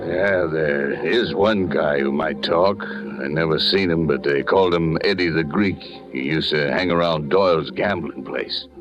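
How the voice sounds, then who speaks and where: An actor playing a slow, slangy underworld tipster in a 1940s radio thriller. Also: male voice, a bass voice. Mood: guarded. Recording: dull vintage radio recording, loud music.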